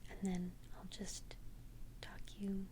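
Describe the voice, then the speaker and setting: A woman's voice: mid-range voice, completely flat delivery, close to an everyday voice. Woman as a whispering, caring vampire best friend. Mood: gentle, cautious. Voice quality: breathy whisper. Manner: soothing, reassuring, hesitant, halting. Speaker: ASMR roleplay performer. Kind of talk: whispered ASMR roleplay.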